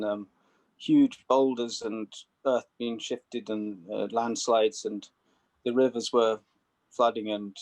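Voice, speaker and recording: A man speaking on an online call, measured and halting, with frequent fillers. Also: on the low side, darkish tone, low-quality recording. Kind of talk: casual video call talk. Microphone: video call.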